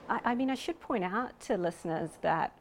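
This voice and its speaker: A woman's voice: medium pitch, animated, moderate pace, slight background noise. A woman with a New Zealand accent, speaking thoughtfully and hesitantly as an interviewed researcher, with frequent you-knows. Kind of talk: reflective interview talk.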